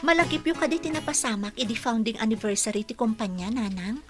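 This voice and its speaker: Actress as high-voiced young Ilocano female employee. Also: quick pace, over loud music, natural voice. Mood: confiding, troubled. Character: Ivory, earnest young female office employee. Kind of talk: Ilocano radio drama acting.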